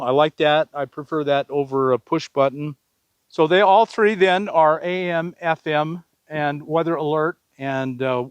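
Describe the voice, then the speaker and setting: A man's voice: a little high, clean tone, dry recording. A man hosting a casual product review, talking plainly to viewers and repeating words for emphasis. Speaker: video host reviewing products.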